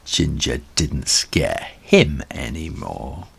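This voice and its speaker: A man reading a children's story aloud as its author, in an animated storybook narration style with a husky voice. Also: very deep voice.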